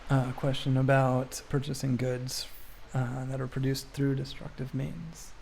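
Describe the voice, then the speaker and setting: A man streaming a game talks in a soft-edged, mellow voice with noticeable fry. He is reflective and halting, thinking through his answers as he goes. There is light background noise with keyboard typing. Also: mid-range voice, level tone, rough recording. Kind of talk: casual livestream commentary while playing, answering chat questions.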